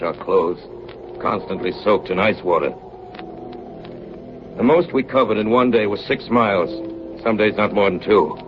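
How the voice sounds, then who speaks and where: Man acting a weary, deep-voiced polar officer, vintage radio. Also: close to an everyday voice. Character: weary, loyal chief officer of stranded Arctic expedition. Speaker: radio actor and narrator. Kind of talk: 1930s radio drama acting and narration. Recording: dull, noisy 1938 radio broadcast recording.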